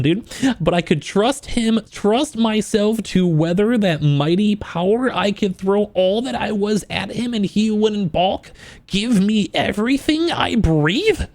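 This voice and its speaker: A man with a slightly high voice reads and talks to a livestream chat. He is informal and self-deprecating, with joking, crude asides. His reading is more measured, while his commentary is looser and quicker. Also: darkish tone. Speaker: streamer reading a novel aloud and reacting to it for chat. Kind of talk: casual livestream book reaction, mixing reading aloud with commentary.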